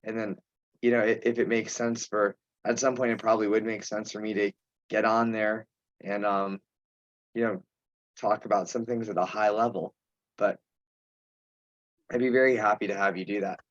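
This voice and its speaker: A man chairing an informal online meeting, speaking haltingly with pauses mid-sentence. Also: on the low side, little pitch movement, no echo. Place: remote teleconference. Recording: dull, muffled conference call audio.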